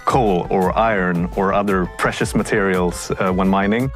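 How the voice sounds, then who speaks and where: A man with a fairly low voice speaks slowly and thoughtfully in an interview clip. Faint music plays underneath. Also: fairly even intonation.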